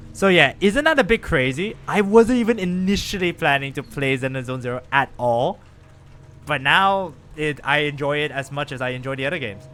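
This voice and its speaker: A man chatting casually with viewers and reacting out loud while he plays a game on a live stream. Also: on the high side, fairly quick, a little hiss or hum. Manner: chatty, animated, lively reactions while playing.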